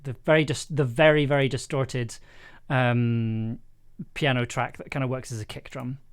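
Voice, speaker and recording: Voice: mid-range voice, steady tone, moderate pace. A streamer chatting casually while editing audio, thinking aloud in a self-deprecating way. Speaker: livestream host, audio drama producer. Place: indoors at a computer, streaming.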